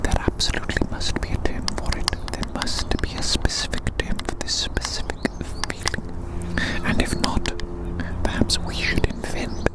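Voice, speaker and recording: An ASMR performer whispering breathily in a medical inspection roleplay, slowly repeating phrases in a playfully eccentric, mock-formal way, recorded outdoors. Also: rough recording. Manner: slow, repeats phrases softly. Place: outdoors in light wind.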